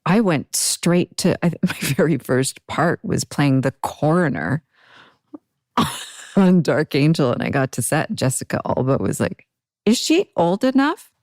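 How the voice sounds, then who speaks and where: Deep-voiced woman, dry close sound. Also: sing-song, a little slow, a little mellow, no echo. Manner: reflective, laughs often. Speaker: guest actor. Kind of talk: casual interview chat.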